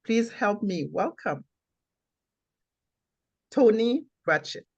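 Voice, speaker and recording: A woman's voice: mid-range voice, animated, takes it slowly, a little mellow, husky. A woman hosting a club meeting, formally introducing the evaluators, heard through dull audio.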